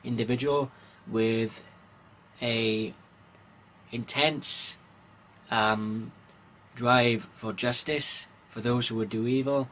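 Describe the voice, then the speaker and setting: Man, medium pitch, hesitant vlogger delivery, frequent ums and pauses, faint background noise. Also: fairly even intonation, a bit bright, rough recording. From Wales. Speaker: comics vlogger. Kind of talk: informal comics news vlog.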